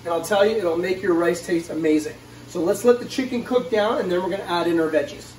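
A man's voice: high voice, fast. A man in his forties giving a casual, upbeat cooking demonstration to camera in a home kitchen, addressing viewers as guys. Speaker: cooking show host. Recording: roomy, distant mic, faint kitchen noise.

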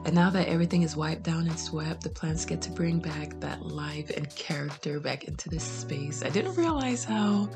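A woman in her thirties narrating her home cleaning vlog in a candid, chatty way, trailing into soft asides. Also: low-pitched, expressive, normal speed, few pauses, over music. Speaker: cleaning vlogger. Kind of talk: casual voice-over narration.

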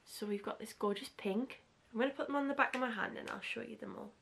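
Young woman beauty vlogger, slightly higher voice with a husky edge, gushing and chatty, talking close to camera in a bedroom. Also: quick pace, fairly bright tone. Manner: chatty and gushing, heavy use of "like". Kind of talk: casual makeup tutorial chat. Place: small bedroom.

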